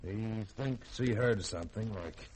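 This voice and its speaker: An actor playing a stern spaceship skipper with a brisk, authoritative manner, in old radio drama style. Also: male voice, lowish voice, a little mellow, natural voice. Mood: brisk, irritated. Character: stern, commanding spaceship skipper, awkward around women. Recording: noisy vintage radio drama recording.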